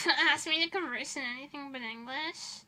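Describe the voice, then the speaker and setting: High, very bright chatty young woman. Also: normal speed. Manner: rambling, giggly, chatty. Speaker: VTuber streamer. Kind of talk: casual chat with stream viewers.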